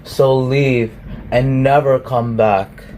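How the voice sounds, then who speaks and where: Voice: mid-range voice, steady tone, takes it slowly, darkish tone, slight background noise, close to an everyday voice. A performer in an ASMR roleplay voicing an ancient, reclusive shapeshifter, haughty and awkward, with formal, archaic phrasing. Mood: commanding. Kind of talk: ASMR roleplay, scripted one-sided dialogue.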